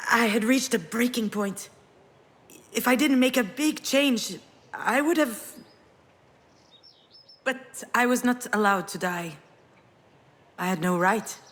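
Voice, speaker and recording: A woman's voice: a little high, full character voice. An actress playing a wistful, wounded woman parting from her ex, speaking in a reflective, intimate way in a naturalistic game performance. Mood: pained, firm. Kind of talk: naturalistic visual novel voice acting.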